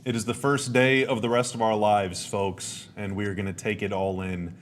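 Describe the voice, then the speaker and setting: A young adult man, a bit hoarse and raspy, hosting a casual live stream from home and talking freely with his viewers. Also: on the low side, little pitch movement, normal speed, a little hiss or hum. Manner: chatty, reads chat comments aloud. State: hoarse, dry sinuses. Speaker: podcast host. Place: home room.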